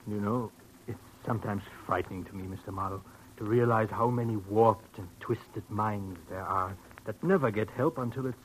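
Lowish male voice, actor playing philosophical doctor, formal, measured, courteous, 1940s radio drama, noisy old recording. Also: natural voice. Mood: reflective, concerned. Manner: formal, measured, deliberate phrasing.

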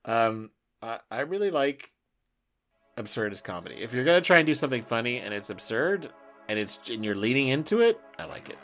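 A man's voice: medium pitch, very animated, brisk, fairly bright tone, faint music behind. A man hosting a podcast in casual, joking chat, with an irreverent, sweary way of talking. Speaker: podcast host.

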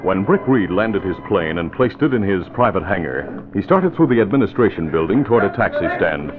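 Animated low-voiced vintage radio announcer man. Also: fairly quick, loud music behind the voice. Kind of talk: 1940s radio scripted narration and sponsor reading. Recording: muffled, phone-like old radio recording.

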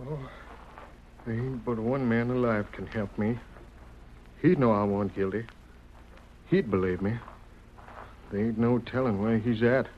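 An actor playing a folksy, wrongly jailed cowboy in a radio western, plaintive and drawling. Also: male voice, mid-range voice, fairly even intonation, a little slow, long pauses, close to an everyday voice. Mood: weary despair. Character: despairing, wrongly jailed old-timer cowboy, folksy and pleading. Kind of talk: 1940s radio western acting. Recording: muffled, noisy old radio recording.

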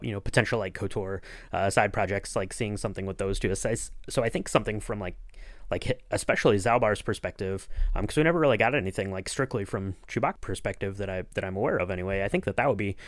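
A man hosting a podcast in casual chat, talking in a halting, rambling way. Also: lowish voice, dry recording, clean studio sound. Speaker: podcast host and interviewer.

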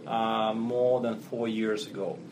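Man, russian accent, non-native phrasing, business talk, steady noise behind. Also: lowish voice, slow pace. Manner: fluent but non-native phrasing, businesslike, frequent fillers. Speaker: company CEO guest. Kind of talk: podcast interview.

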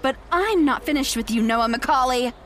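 Haughty, high-pitched young con woman, played by an actress. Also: moderate pace, natural voice. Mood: indignant, angry. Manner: haughty, sharp-tongued, condescending. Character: vain, indignant young con-artist girlfriend. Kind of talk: audio drama acting.

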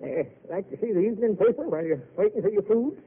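A man's voice: high-pitched, expressive, fast talker, husky, full character voice. An actor playing a chatty, cackling old grandfather in a 1940s radio drama. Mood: gossipy. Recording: tinny old radio broadcast.

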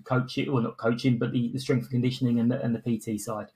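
A man's voice: mid-range voice, level tone, fast, warm tone. A man hosting a podcast in a casual, jokey, self-deprecating way. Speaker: podcast host. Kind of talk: casual interview chat.

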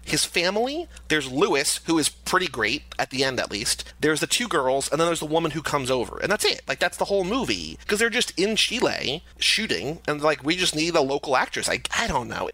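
A man with a higher, bright voice discusses a movie on a podcast. His pitch swings a lot as he rambles through plot holes. His talk is animated and loose. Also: brisk, few pauses, low-quality recording. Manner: animated, rambling with many "like" fillers. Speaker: podcast co-host. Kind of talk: casual movie review chat.

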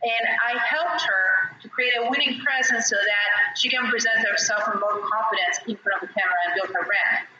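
A woman voice coach tells animated stories in an interview. Her tone is very bright and a bit dull in band. She sounds distant in a very echoey space. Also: mid-range voice, a little fast, few pauses, slight background noise. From Argentina. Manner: animated storyteller who demonstrates voices. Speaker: voice and presence coach. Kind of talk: podcast interview guest.

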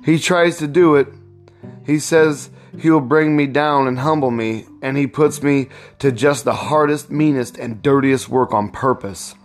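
A man plays an aggrieved young husband in a storybook, proud and defiant. The pitch is pushed up with insistent emphasis, and the delivery stays slow. Faint music runs underneath. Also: close to an everyday voice. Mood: indignant, defiant. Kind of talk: storybook read-aloud.

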